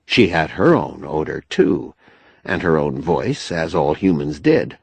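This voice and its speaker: A man narrating a novel as an audiobook in a measured, expressive storytelling manner. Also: a bass voice, creaky, slightly rough, no echo. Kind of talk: scripted literary narration.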